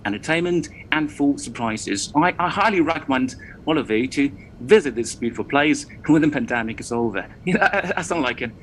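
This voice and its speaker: A man's voice: on the high side, animated, a little fast, a bit bright, slight background noise, low-quality recording. A man working as a reporter, chatting on a live news show and often repeating words as he talks.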